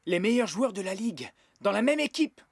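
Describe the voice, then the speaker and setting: An actor playing a loyal, enthusiastic footballer with a gravelly voice in a French cartoon dub. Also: male voice, high-pitched, big pitch swings, brisk, exaggerated voice.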